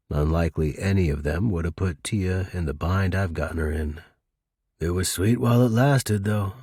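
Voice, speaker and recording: A man's voice: deep voice, darkish tone, husky, dry recording, clean studio sound. A man narrating a noir audiobook as a world-weary, middle-aged crook, wry and clipped, with a regretful tender side.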